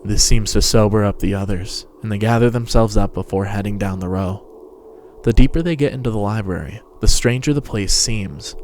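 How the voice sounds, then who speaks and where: A man's voice: lowish voice, normal speed, darkish tone, slight background noise. A man giving a scripted, dramatic narration of a story. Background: music and sound effects.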